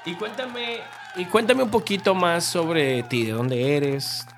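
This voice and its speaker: A man's voice: high-pitched, takes it slowly, a crowd in the background, background noise. A man hosting a podcast, opening with an upbeat radio-style introduction before asking his guest casual questions. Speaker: podcast host. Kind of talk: podcast interview.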